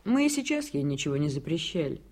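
Husky, apologetic mother. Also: low-pitched, big pitch swings, fast talker, a little mellow. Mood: apologetic worry. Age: middle-aged. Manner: apologetic, earnest. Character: anxious, polite mother of a grown daughter. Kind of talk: radio drama acting.

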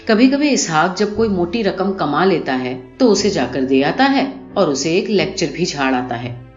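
A woman reading a short story aloud in an expressive, scripted narration style. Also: lowish voice. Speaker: audiobook narrator. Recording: muffled, roomy recording with music behind. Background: music.

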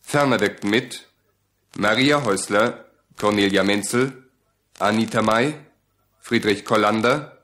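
Slow, low-pitched male radio announcer. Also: a bit bright. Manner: measured. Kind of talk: formal credits announcement.

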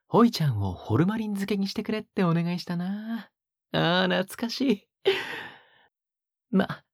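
A woman playing a sweetly possessive, sadistic young male captor in an audio drama, murmuring intimately to the listener in a husky, gently menacing voice that slips into whispers. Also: sits low, no room sound, clean studio sound, exaggerated voice. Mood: fondly nostalgic. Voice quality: breathy, husky.

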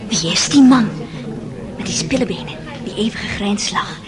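A woman's voice: mid-range voice, animated, normal speed, close to an everyday voice. An actress playing an inquisitive, gossipy society lady in a radio drama, chatty and affected. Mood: curious, gossipy. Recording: busy crowd behind the voice.

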